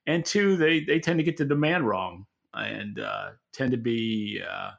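Gravelly, bright-voiced man giving market commentary. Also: mid-range voice, big pitch swings, measured pace. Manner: emphatic, opinionated. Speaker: podcast co-host and industry analyst.

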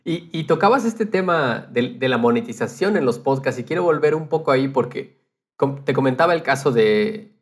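A man co-hosts a podcast in a voice of medium pitch. He speaks informally, in a conversational way. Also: lively intonation, moderate pace. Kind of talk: casual conversational podcast talk.

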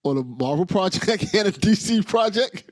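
A man hosts a podcast chat, playful and hyped, cursing for emphasis. His voice is slightly husky, with lively, swooping pitch. The sound is dry and close. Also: normal speed, no echo. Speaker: podcast host. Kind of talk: casual chat.

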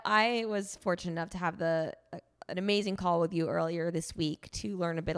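A woman hosting a podcast interview, hoarse, chatty and upbeat with frequent filler words. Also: on the low side. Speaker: podcast host.

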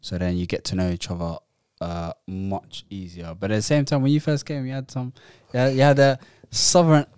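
A man's voice: on the low side, flat delivery, normal speed, darkish tone, clean tone, no echo, clean studio sound. A man who grew up partly in the Netherlands, chatting casually and rambling with fillers and restarts. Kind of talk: casual chat.

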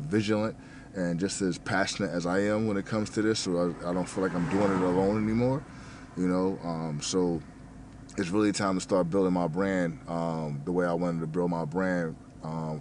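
Deep-voiced man mumbling through a casual ramble. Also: darkish tone, a little hiss or hum. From New Jersey. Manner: stumbles over words, many fillers, curses freely. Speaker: restaurant manager and fitness vlogger.

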